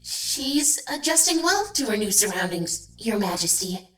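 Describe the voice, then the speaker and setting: A woman's voice: mid-range voice, very animated, measured pace, fairly bright tone, recorded from a distance. A woman playing a nervous, deferential changeling schoolteacher in an audio drama. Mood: nervous, hesitant. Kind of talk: fan audio drama acting. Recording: echoey room, off mic, music underneath.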